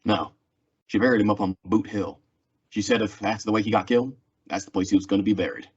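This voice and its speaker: A man's voice: on the low side, fairly even intonation, quick pace, slightly rough. A man acting a weary, stoic frontier marshal in an old-time radio western drama. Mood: calm, stoic. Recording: dull, lo-fi audio.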